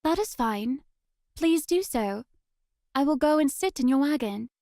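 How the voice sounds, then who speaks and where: A woman playing a solemn, mysterious young witch girl in a visual novel, speaking in formal, measured phrases. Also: sits high, expressive, mellow, no echo, clean studio sound. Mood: matter-of-fact. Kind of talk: visual novel character acting.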